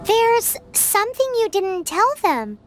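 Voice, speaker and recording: A squeaky, excitable little companion. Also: female voice, slow pace, soft background music, heavily acted. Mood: excited. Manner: squeaky, exclamatory, blurting. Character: tiny floating female companion, chatty and excitable. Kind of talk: game cutscene voice acting.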